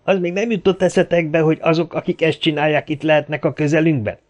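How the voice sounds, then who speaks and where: A man's voice: fairly high pitch, fairly even intonation, voice pushed up, lightly acted. A man voicing a stern, commanding bearded gang leader in an audiobook, giving orders and firm arguments. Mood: commanding, angry. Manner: barking orders, reasoning firmly.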